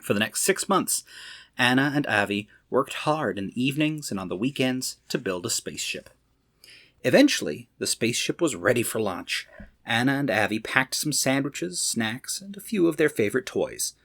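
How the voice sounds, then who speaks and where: A man reading to children as a storyteller, expressive, with dramatic emphasis. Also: medium pitch, moderate pace.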